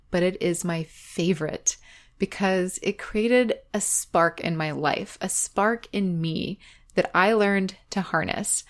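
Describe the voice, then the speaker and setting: A woman podcast host speaking in a reflective scripted monologue, with measured phrasing and deliberate pauses. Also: lowish voice. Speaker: podcast host, audio drama creator.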